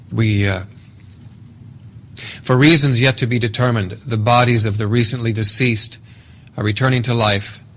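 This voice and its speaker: A man plays a grave President in a radio drama. His mid-pitched voice delivers a formal national address, deliberate and halting. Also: fairly bright tone, lightly acted. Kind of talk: radio drama speech acting.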